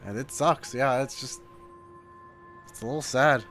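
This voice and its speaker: A man streaming his live reaction to a video game, talking informally and haltingly, often repeating himself. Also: mid-range voice, fairly bright tone, soft background music. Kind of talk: casual live reaction commentary.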